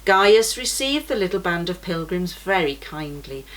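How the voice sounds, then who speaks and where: A woman reading a children's storybook aloud in a gentle, measured way. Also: lowish voice, very animated, low-quality recording.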